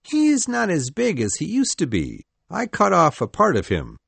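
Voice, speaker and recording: A woman's voice: lowish voice, wildly swooping pitch, moderate pace, vocal fry, husky, dry recording, full character voice. A woman reading aloud, voicing a boastful, fearless young boy leader in a matter-of-fact, cocky way.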